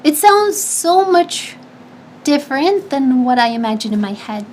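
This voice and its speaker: A woman with a notably high voice chats casually with her stream audience, handing out advice. She sounds a little nasal and stuffy, and she circles back and restarts her sentences as she goes. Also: very animated, a little slow, slight background noise. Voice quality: high-pitched; slightly nasal. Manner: chatty, advice-giving, lots of restarts and fillers. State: stuffy nose. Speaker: streamer answering chat. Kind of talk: casual chat.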